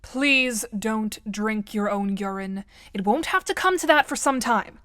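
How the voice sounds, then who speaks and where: A woman's voice: mid-range voice, animated, a little slow, pushed and bright, slight background noise. A woman playing an earnest, polite forum member who appeals for reason in an audio drama. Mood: polite dismay.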